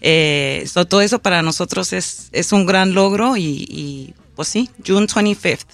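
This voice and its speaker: A woman's voice: fairly low pitch, measured pace, vocal fry, dry recording, soft background music. Woman guest switching into English. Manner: hesitant, many restarts and fillers, mixes in English. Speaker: festival organizer and musician. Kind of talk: casual interview guest.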